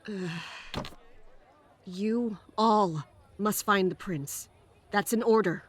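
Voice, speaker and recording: An actress playing a fierce, commanding captain who is wounded and in pain, in a fantasy audio drama. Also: female voice, mid-range voice, extremely slow, noisy background. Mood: pained, demanding.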